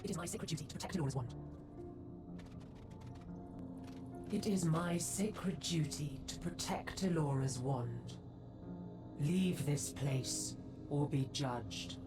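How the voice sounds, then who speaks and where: Fantasy game role: an ancient centaur woman, stern guardian weighed by remorse, low register, measured solemn pace. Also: music in the background. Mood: stern, commanding. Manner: grave, slow, formal delivery. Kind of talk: dramatic fantasy game voice acting.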